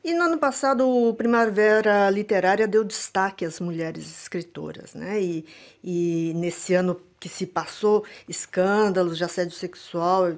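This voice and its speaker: A woman interviewing a guest for radio, asking questions in a clear, composed way. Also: medium pitch, unhurried.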